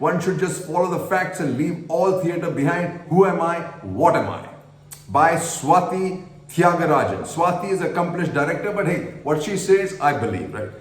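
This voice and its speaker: A man reads a written piece aloud, pausing to add his own comments, earnest and prone to small stumbles. His voice sits a little high for a man. The room around him is noticeably echoey. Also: lively intonation. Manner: earnest, stumbles and self-corrects while reading. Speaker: commentator reading an essay aloud. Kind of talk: reading aloud with personal asides.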